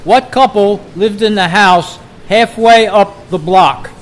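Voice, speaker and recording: A man with a notably high voice acts as quizmaster for a club gathering. He reads each trivia question out deliberately, in short phrases, and repeats it for the group. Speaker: quiz host at a club meeting.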